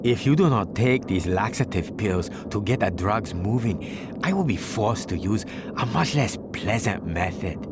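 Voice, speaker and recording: A man playing a ruthless gang boss in a video game cutscene, giving orders in formal, measured phrases with menace. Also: fairly low pitch, lively intonation, heavy background noise. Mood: commanding.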